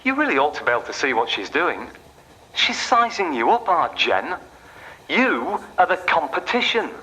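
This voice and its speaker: An actor playing a sardonic train guard in a radio play, giving mock-official announcements laced with taunts. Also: male voice, on the high side, bright, forceful tone, rough recording. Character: sardonic male train guard, mockingly needling a passenger.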